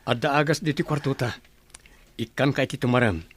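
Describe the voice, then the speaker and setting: An easygoing village man acted by a male performer, with a medium-pitched voice. He talks casually and asks questions like a brotherly friend in an Ilocano radio comedy. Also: fairly quick, a bit bright, husky. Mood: concerned.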